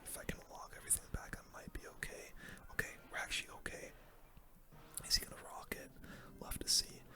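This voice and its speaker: A gaming host speaking in a soft, unvoiced whisper, giving casual, hushed play-by-play in an ASMR style. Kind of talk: whispered ASMR game commentary.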